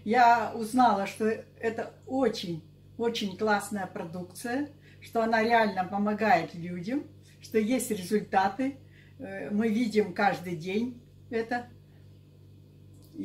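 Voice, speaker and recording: A woman of sixty-seven giving halting, unscripted interview answers, often repeating herself. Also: mid-range voice. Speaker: interviewee giving a product testimonial. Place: small curtained indoor office. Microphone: camera a couple of metres away. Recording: echoey room.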